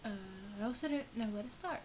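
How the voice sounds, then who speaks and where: A woman's voice: mid-range voice, lively intonation, brisk, heavy background noise. A woman playing a game, casually commenting and reading lines aloud. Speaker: player reading along with a game.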